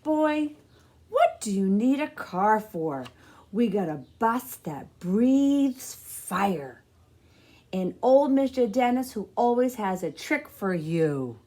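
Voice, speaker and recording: A woman's voice: on the high side, very animated, takes it slowly, warm tone. A woman voicing a wise, spirited grandmother who chides affectionately in a folksy, emphatic way in a children's storybook. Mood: chiding, cheerful. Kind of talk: children's storybook read-aloud.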